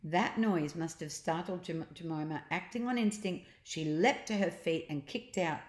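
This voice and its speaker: A woman's voice: lowish voice, normal speed, darkish tone. A woman narrating a children's story aloud, sometimes chatting about the pictures. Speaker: storybook reader. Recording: echoey, roomy home recording.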